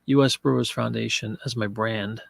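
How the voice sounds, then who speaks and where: Gravelly, stuffed-up man chatting live. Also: on the low side, fairly even intonation, moderate pace, a bit bright, no echo, clean studio sound. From Pennsylvania. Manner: chatty, rambling, answers viewer chat. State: congested from a cold. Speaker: live-stream eBay seller. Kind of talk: casual live-stream chat.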